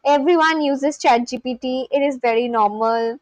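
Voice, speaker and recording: A woman's voice: high voice, moderate pace, dry recording, lo-fi sound. A young woman from India with an Indian English accent, chatting casually on a live stream, rambling with lots of fillers and restarts. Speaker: livestream host.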